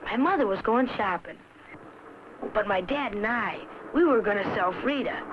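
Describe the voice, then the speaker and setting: A woman's voice: mid-range voice, animated, normal speed, lightly acted. A woman voicing a chatty, plain-spoken farm boy who reads out his letter in an educational film. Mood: chatty, proud. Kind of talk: scripted educational film narration. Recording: dull old film soundtrack, some noise.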